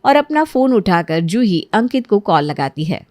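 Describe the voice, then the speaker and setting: A woman's voice: mid-range voice, big pitch swings, moderate pace, darkish tone, no room sound. Woman narrator, dry studio sound. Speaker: story narrator. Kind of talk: scripted cartoon story narration.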